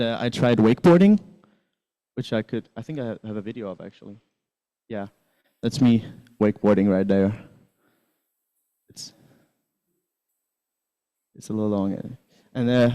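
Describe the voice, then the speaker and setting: Teen guy from Sweden, chatty presenter, mellow dark voice, mid-pitched. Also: low-quality recording. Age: teens. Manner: chatty, rambling, many fillers and restarts. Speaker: exchange student presenter. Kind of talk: casual presentation with slides.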